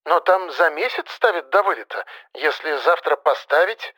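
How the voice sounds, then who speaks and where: A man's voice: fairly high pitch, expressive, normal speed, sharp tone. A man voicing an anxious, nagging elderly mother in an audiobook story, plaintive and lecturing, often sighing. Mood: doubtful.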